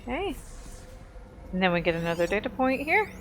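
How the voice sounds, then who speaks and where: A woman's voice: mid-range voice, very animated, brisk, slight background noise. A woman giving casual gameplay commentary, talking informally as she goes through the game.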